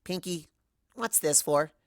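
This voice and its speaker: A woman's voice: deep voice, takes it slowly, creaky, no echo, clean studio sound, full character voice. A woman voicing a tired, bookish young female pony in a subdued, matter-of-fact way, in a story reading. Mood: subdued, sad.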